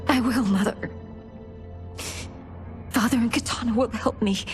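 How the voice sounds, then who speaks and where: An actress playing a grieving young princess in a fantasy video game drama. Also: female voice, fairly high pitch, a little slow, faint music behind, natural voice. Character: grieving young princess daughter becoming empress.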